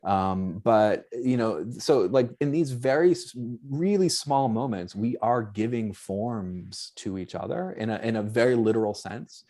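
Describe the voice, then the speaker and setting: A man with a light Boston accent and a fairly low voice speaks on a panel over a video call. He is an anthropologist who thinks aloud and works his ideas out as he goes, in a tentative, searching academic manner. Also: animated, measured pace, few pauses, mellow.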